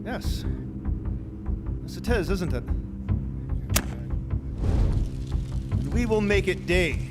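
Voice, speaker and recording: Casting: bitter drunken ringleader, very high strained pitch, drawn-out declamation, live stage under loud music. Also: male voice, lively intonation. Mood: grimly resolved. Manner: declamatory, defiant, theatrical shouting. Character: drunken, reckless, bitter man inciting a revel. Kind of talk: live radio drama acting.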